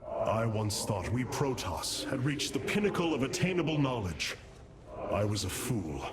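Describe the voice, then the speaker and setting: A man playing a solemn, noble alien warrior leader in a video game, speaking gravely and formally. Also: mid-range voice, very animated, moderate pace, noisy background, natural voice. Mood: grave, reflective. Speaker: commander of an alien army. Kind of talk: video game cinematic dialogue. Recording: orchestral score behind the voice.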